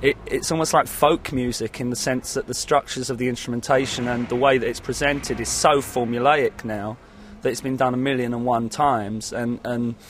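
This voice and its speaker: A man's voice: mid-range voice, steady tone, normal speed, hardly any pauses, slight background noise. A man giving a casual interview as a musician, rambling and hesitant, often saying "you know". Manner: rambling, hesitant, peppered with 'you know'.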